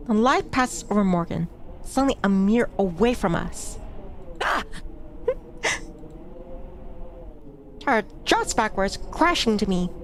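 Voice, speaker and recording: A woman's voice: medium pitch, sing-song, unhurried, pauses often, a little hiss or hum. A woman streamer reading a visual novel aloud, with casual, chatty asides between passages. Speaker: VTuber streamer. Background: background music.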